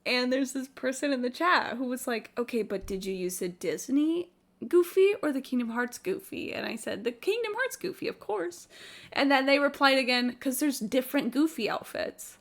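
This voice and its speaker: High-voiced, animated woman streamer. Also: moderate pace. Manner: sweary, expressive, chatty. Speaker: live game streamer. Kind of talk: casual livestream chat over gameplay. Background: faint game music.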